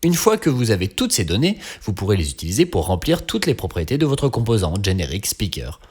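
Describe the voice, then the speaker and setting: Male tutorial narrator for a training course: husky, very varied intonation, instructional with a little dry wit. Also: fairly low pitch, huge pitch swings, fairly quick, darkish tone.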